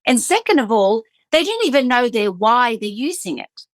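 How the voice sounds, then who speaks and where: A woman's voice: a little high, very animated, normal speed, no room sound. A woman esthetician hosting a podcast, talking with emphatic passion and doubling up words as she presses her points. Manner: emphatic, fired-up, repeats words when stressing a point.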